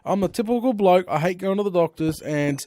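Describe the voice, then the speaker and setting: An Australian man chatting casually during a live stream of his game, rambling through stories with lively slang exclamations. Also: high voice, fairly quick. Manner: chatty, animated storytelling with frequent slang exclamations. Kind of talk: rambling casual chat while playing.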